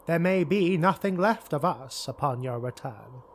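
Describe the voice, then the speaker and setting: A man's voice: on the high side, lively intonation, measured pace, slight background noise, voice pushed up. A man voicing an anxious, rueful and loyal male elf retainer in an audiobook. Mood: dread, rueful.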